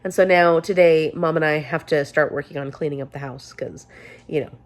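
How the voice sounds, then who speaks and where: A woman's voice: sits low, fairly quick. A woman vlogging casually to camera, chatty and upbeat, a little congested with a sore throat. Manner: chatty, upbeat, rambling with restarts. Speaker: book vlogger.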